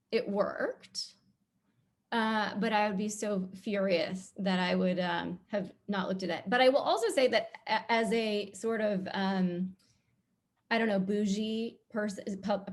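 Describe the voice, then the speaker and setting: Outspoken woman panelist, comically exaggerating. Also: fairly high pitch. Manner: animated, comic exaggeration, blunt opinions. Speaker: panelist, TV producer. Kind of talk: casual panel commentary.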